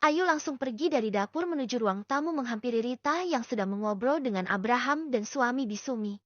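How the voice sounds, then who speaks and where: A woman's voice: on the high side, expressive, fairly quick, no echo. A woman reading a scripted story as an audiobook narrator. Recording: dull lo-fi dry recording.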